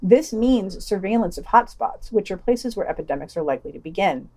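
A woman's voice: medium pitch, lively intonation, fairly quick, dark tone. A woman hosting a podcast, reading scripted reviews and quotations in a matter-of-fact, opinionated way. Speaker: podcast host reading a news roundup. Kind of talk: scripted review commentary, read aloud with quotations.

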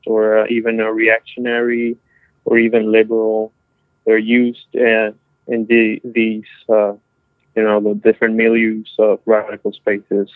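Muffled, dry podcast guest: male, very even delivery, thoughtful discussion with halting phrasing. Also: medium pitch, no room sound. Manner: halting, searching for words. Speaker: discussion participant. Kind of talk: casual reflective discussion. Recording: dull lo-fi, dry radio-like sound.

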